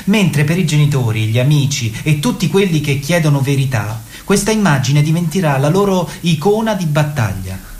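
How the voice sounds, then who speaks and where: A woman's voice: deep voice, very animated, moderate pace, darkish tone. Echoey woman narrator. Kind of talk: scripted theatrical narration. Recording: roomy, echoing sound.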